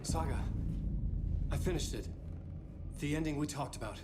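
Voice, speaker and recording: A man playing a grimly determined, weary writer hero in a video game, speaking solemnly and deliberately, often hushed. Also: fairly high pitch, heavy background noise, lightly acted. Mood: resolute, weary. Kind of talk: brooding dramatic monologue and dialogue. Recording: loud music under the voice.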